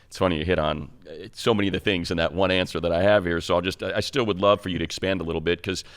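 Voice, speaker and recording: American man, low-pitched voice, sports podcast co-host, conversational, wordy, rephrasing questions. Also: fairly even intonation, racing. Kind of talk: conversational interviewing.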